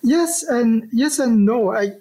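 Man, husky voice, halting answers, lots of restarts. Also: very high-pitched, animated, dark tone. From Singapore. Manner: halting, many restarts and fillers. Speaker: archaeologist guest. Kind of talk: interview answers.

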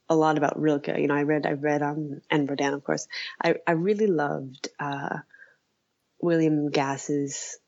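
A woman speaking as an interview guest, hesitant and halting as she thinks aloud in casual conversation. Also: low-pitched, lo-fi sound. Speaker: interview guest, writer and author.

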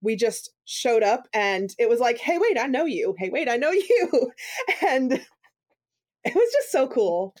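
A woman hosting a podcast, telling a story upbeat and animated in casual chat. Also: a little high, slow. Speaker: podcast host.

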